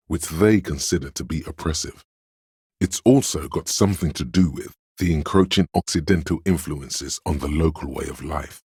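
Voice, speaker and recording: A man voicing a pedantic inner voice of encyclopedic knowledge in a game, narrating lore in a measured, learned, didactic way. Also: extremely low pitch, darkish tone, husky, no room sound, studio quality, heavily acted. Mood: informative. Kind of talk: scripted narration, delivering trivia.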